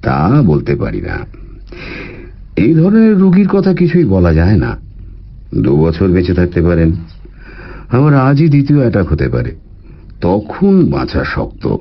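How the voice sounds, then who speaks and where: Seasoned doctor, swooping intonation. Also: male voice, low-pitched, wildly swooping pitch, measured pace, pauses often, muffled recording, exaggerated voice. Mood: grave, guarded. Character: seasoned, guarded senior male heart doctor. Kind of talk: audio story acting.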